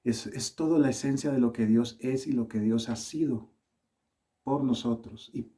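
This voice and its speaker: Salvadoran-accented male preacher, mellow tone, earnest and measured sermon delivery. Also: mid-range voice. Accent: Salvadoran with Colombian touches.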